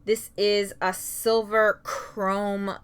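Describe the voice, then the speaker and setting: A woman's voice: mid-range voice, little pitch movement, extremely slow, clean tone. A young woman vlogger giving an upbeat, detailed product demo and review, often saying "like". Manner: upbeat, descriptive, says "like" often. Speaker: beauty vlogger.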